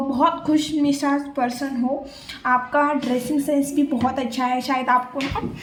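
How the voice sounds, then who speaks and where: A woman talking through a tarot reading in an easy, chatty way. Her voice sits a bit high but stays on a flat, narrow melody. She pauses and fills gaps with small hesitations as she goes. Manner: chatty, hesitant, many fillers. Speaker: tarot reader. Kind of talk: casual tarot reading to camera.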